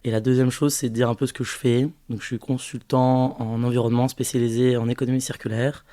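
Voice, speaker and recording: Flat-voiced young man, hesitant. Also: medium pitch, no echo, studio quality. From French Guiana. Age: 20s. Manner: hesitant, thinking aloud with many pauses. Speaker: podcast guest. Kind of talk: casual interview testimony.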